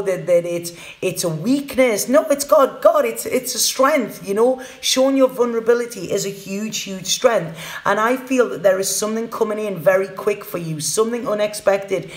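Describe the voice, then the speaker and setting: A woman reads tarot cards live for her viewers in a loose, chatty way. She repeats words and keeps checking in with "okay?". Her voice rings in a roomy, echoey space. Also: medium pitch. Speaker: tarot reader. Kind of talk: live tarot reading, casual chat to viewers.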